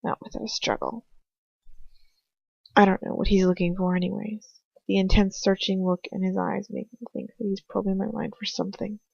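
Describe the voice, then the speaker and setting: Woman reader, first-person fan fiction, gravelly, dry, lo-fi home mic. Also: medium pitch, level tone, normal speed, no room sound. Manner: quiet, introspective first-person reading with occasional stumbles.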